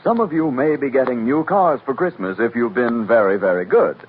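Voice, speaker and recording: A man's voice: mid-range voice, animated, brisk. A man announcing a 1940s radio commercial with salesman's patter. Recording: tinny 1940s radio recording, faint hiss.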